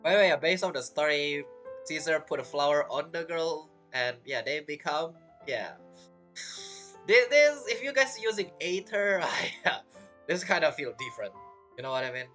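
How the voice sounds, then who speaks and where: Lively low-pitched female game streamer. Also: moderate pace. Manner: slangy, jokey, exclamatory. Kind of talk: casual reaction commentary over gameplay. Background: game music.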